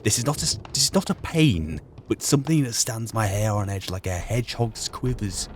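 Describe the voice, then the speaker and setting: A man's voice: lowish voice, expressive, brisk, lightly acted. A man voicing a lonely, tormented old professor, reading a journal aloud in a formal, theatrical way. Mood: dread. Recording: faint background noise.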